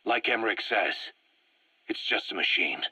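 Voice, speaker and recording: A man acting a stern, distrustful military interrogator in a video game, briefing with measured authority. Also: on the low side, expressive, noisy background, lightly acted. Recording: dull, dry radio-like cassette recording.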